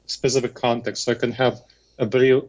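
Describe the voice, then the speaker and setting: Male interview guest, Eastern European accent, medium pitch, methodical lecturing delivery, slightly lo-fi remote recording. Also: level tone, brisk. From Moldova, former Soviet Union. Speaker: interview guest, history professor. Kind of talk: explanatory interview answers. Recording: slightly dull remote call sound.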